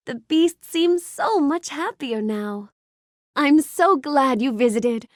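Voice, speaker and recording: A woman's voice: high voice, lively intonation, takes it slowly, no echo, clean studio sound. A woman voicing a sweet, polite, bookish young fairy-tale heroine in a video game, gracious and full of earnest wonder. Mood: warm, grateful. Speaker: video game character voice actress. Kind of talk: animated game character lines addressed to the player.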